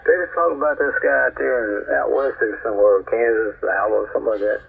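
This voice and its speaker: A man's voice: mid-range voice, steady tone, fast, gravelly, husky, phone-quality sound. A man chatting casually as a ham radio operator, rambling in a folksy, plain-spoken way over a shortwave transmission. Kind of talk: casual two-way radio chat.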